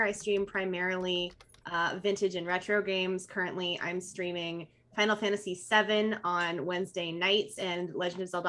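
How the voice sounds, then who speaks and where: A woman's voice: mid-range voice, bright, forceful tone. A woman streamer speaking casually as a guest, introducing what she does to a livestream audience.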